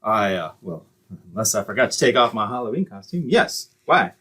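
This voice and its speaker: A man acting a breezy, streetwise, cowardly schemer in an old-time radio comedy, wisecracking in slangy speech with a husky voice. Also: lowish voice, sing-song, moderate pace, bright, forceful tone, full character voice. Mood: squeamish, evasive.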